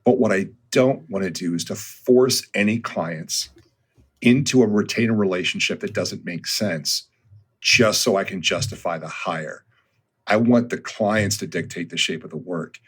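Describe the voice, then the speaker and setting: Low husky man in his fifties. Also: unhurried, fairly bright tone. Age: 50s. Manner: thoughtful, with frequent ums and restarts. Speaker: guest, consulting firm founder. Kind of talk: conversational podcast interview.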